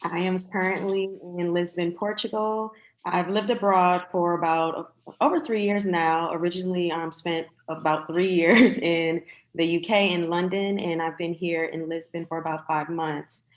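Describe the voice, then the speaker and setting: A woman's voice: on the low side, fairly even intonation, moderate pace, rough recording. An American woman in her thirties giving a polished but informal introduction of herself as a panelist. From Detroit, United States. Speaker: panelist, entrepreneur. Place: online video call.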